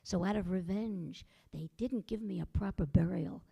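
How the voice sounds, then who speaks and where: A woman playing a low, spooky headless skeleton. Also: gravelly, breathy edge, highs cut off, full character voice. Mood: mournful. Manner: low, slow, drawn-out spooky voice. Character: headless old male skeleton ghost, punning and solemn.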